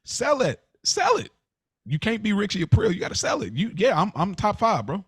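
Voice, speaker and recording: A man's voice: high voice, huge pitch swings, fairly quick, husky, no echo, clean studio sound. A man co-hosting a podcast with casual, slangy banter, often repeating himself. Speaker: podcast co-host.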